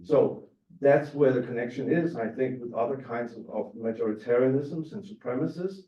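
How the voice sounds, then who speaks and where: A man's voice: medium pitch, normal speed. A man giving a talk with slides on a panel, in an academic way with hesitant restarts. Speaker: panel speaker. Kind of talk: lecture with slides. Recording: roomy, distant, dull sound.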